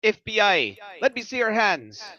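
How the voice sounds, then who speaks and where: A man plays a brusque male FBI agent in a screenplay read, exasperated and incredulous. His voice is high for a man and leaps around sharply. The recording is a little dull. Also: sing-song, normal speed, pushed and bright, no echo, full character voice. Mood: commanding. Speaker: actor at a table read.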